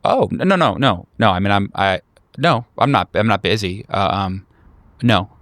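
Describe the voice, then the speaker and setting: A man's voice: fairly low pitch, expressive, moderate pace, a bit bright, no room sound. A man voicing a painfully awkward young recluse in his twenties in a tabletop horror game, stammering, repeating himself and apologizing. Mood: awkward, eager.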